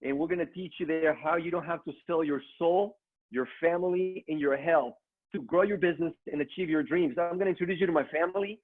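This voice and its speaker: A man's voice: fairly high pitch, a little fast. Mexican-accented man, tinny call audio. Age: middle-aged. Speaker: online training speaker. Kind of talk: motivational business presentation. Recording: narrow phone-like online call audio.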